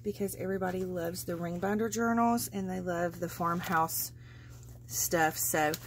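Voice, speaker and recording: A woman's voice: fairly low pitch, normal speed, a little hiss or hum. Chatty woman gushing over crafts. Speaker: craft seller showing a handmade journal. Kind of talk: casual flip-through narration. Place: indoors at a craft table. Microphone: overhead camera, speaker off-screen.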